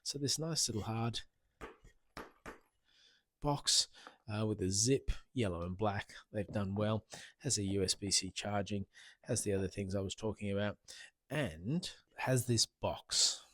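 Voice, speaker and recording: Man, lowish pitch, casual product reviewer, chatty and repetitive, handheld wireless mic, dry sound. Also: measured pace, pauses often, no room sound. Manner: chatty, informal, repeats himself. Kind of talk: casual gear review to camera. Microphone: handheld wireless interview microphone.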